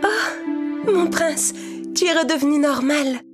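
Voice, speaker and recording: A woman's voice: high-pitched, lively intonation, slow, music in the background, pitched above the performer's own voice. A woman voicing Cinderella as a sweet, earnest young princess in a children's cartoon story. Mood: relieved, tender.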